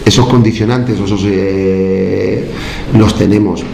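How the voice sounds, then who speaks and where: A man's voice: deep voice, steady tone, slow pace, vocal fry, reverberant, noisy background. A man from La Mancha in Spain with a Spanish La Mancha accent, a football coach giving a post-match interview, hesitant and often repeating his words.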